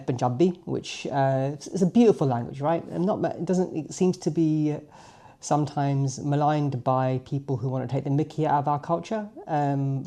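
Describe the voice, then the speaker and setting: Chatty British man in his forties, mellow voice. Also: fairly high pitch. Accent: British English. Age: 40s. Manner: chatty, jokey, self-aware asides. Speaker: vlogger. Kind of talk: casual chat to camera.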